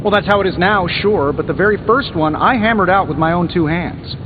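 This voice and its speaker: A man's voice: high-pitched, fairly quick, background noise, natural voice. A man playing a flippant armored inventor superhero in a video game, breezy and wisecracking. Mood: cocky, teasing. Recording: slightly dull audio, music behind.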